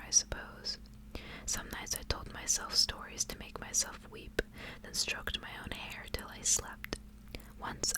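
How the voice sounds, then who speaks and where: A woman reads a story in an ASMR style. Her voice stays in a hushed whisper, with no full voicing. The delivery is that of a literary tale read aloud for listeners. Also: low-quality recording. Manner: hushed, unvoiced whispering throughout. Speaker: ASMR reader.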